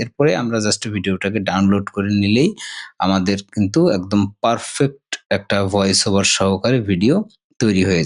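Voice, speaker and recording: A man hosting a casual software tutorial, explaining step by step and often checking in with short tag questions. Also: mid-range voice. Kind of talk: casual screen-share tutorial.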